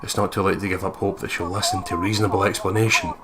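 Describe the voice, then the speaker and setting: A man's voice: low voice, level tone, fast. A man reading visual novel narration aloud and breaking into jokey, profane commentary as a gaming co-host. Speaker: let's play co-host.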